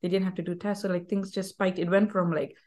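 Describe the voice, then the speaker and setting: A woman from Malaysia, speaking, chatting as a podcast guest in a lively, rambling way full of "like". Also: fairly low pitch, fast. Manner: chatty and animated, heavy use of "like". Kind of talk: casual conversational chat.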